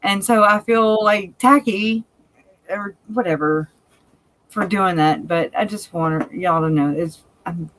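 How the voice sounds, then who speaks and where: A woman's voice: medium pitch, slow, fairly bright tone, a little hiss or hum, poor audio. A woman with a country-sounding Cajun accent, co-hosting a casual live chat, talking candidly and laughing often. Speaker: livestream co-host.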